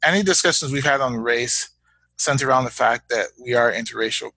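A Canadian man and father of young children, talking as a guest in a reflective interview, measured and halting, often repeating words as he thinks. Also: mid-range voice, creaky, bright, forceful tone, breathy edge, poor audio.

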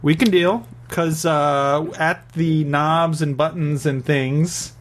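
Podcast host, male, somewhat high-pitched, joking manner, lots of hesitations. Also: fairly even intonation, slight background noise. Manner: joking, halting with many fillers. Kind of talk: casual chat.

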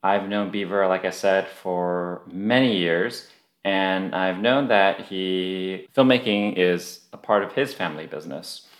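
A man hosts a podcast interview in a relaxed, conversational manner, his voice sitting fairly low. He records remotely from a room with noticeable echo around him. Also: measured pace. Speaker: podcast host.

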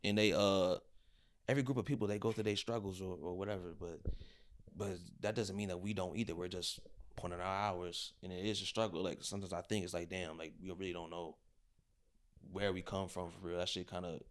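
Low-voiced man hedging in casual chat. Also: steady tone, fairly quick. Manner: hedging, many fillers and disclaimers. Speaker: podcast co-host.

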